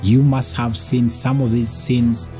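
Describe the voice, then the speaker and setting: A man who hosts a channel, reading a scripted narration of facts in a measured, teaching tone. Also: medium pitch, level tone, music in the background. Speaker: channel host. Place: voice-over with background music.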